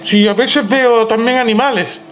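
A man playing a prissy, indignant neighbour on a prank call, halting and stiffly formal. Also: very high-pitched, a bit bright. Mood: offended. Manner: halting, repetitive, stilted formality. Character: prissy, indignant, fussy neighbour complaining by phone. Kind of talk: improvised prank phone call.

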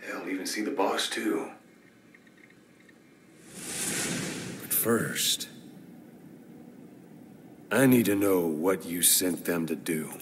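An actor playing a stern military interrogator in a video game, controlled, authoritative and mocking. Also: male voice, deep voice, very slow, long pauses. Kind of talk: dramatic game cutscene acting. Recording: distant, echoey room, faint noise.

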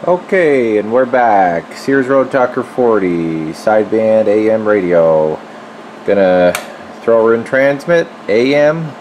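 A man with a fairly low voice talks in an informal, folksy way as he works, like a technician showing a repair. He is recorded on a handheld camera in a workshop, with clear steady equipment noise behind him. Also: slow pace, rough recording. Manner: informal, folksy, talking while working. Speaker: radio repair technician presenting his work. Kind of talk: casual hands-on demonstration. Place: indoor electronics workshop. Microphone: handheld camera microphone.

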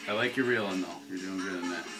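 A man's voice: sits low, fast, over loud music. A man teasing his friends in a small ice fishing shack, dry and joking. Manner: dry teasing, mock announcer shouts. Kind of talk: casual banter.

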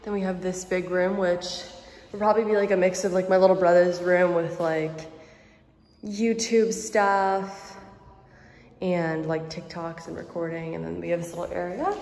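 A woman's voice: on the low side, flat delivery, normal speed, a little mellow, a little hiss or hum. A young woman vlogger chatting casually while giving a walkthrough tour of an empty house. She films on a phone held at arm's length and talks in a bubbly way, full of "like". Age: young adult. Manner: chatty, bubbly, heavy use of "like".

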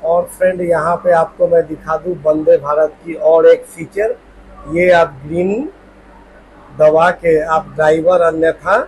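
A man's voice: fairly high pitch, slight background noise, low-quality recording. A man in his thirties vlogging a train tour, chatty and addressing viewers as friends, filming himself with a phone at arm's length. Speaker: travel vlogger. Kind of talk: casual travel vlog tour. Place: train platform and train coach interior. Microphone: phone held at arm's length.

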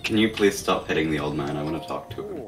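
A man's voice: a bass voice, fast, creaky. A man bantering casually over gameplay in a dry, sarcastic way. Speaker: gamer co-playing on a shared controller. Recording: distant mic, faint game music. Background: faint video game music and sounds.

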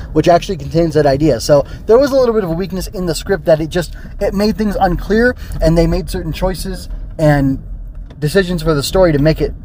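Guy doing a casual review podcast, kinda rambly and unsure, voice a bit high, some rumbly noise behind him. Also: dark tone. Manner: rambling, tentative, thinks aloud and digresses. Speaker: podcast host and film reviewer.

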